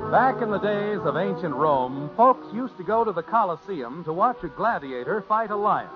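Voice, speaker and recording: A man's voice: high-pitched, expressive, normal speed, loud music behind the voice. An American man announcing and narrating a 1950s radio show in a mock-dramatic storytelling manner. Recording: muffled old radio recording.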